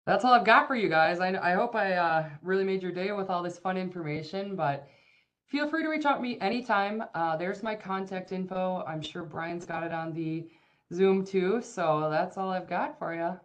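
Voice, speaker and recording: A woman presenting in a webinar, her voice pitched very low for a woman. She talks casually and speaks straight to the audience. The sound comes through a video call. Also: normal speed. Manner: casual and breezy, addressing the audience directly. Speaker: independent insurance broker.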